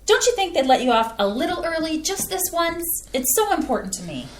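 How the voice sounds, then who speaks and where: A woman playing an excitable, fussy Midwestern housewife and mother in a staged play reading. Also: extremely high pitch, fairly quick, echoey room, slight background noise. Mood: pleading. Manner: flustered, fussy and eager. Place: theatre stage.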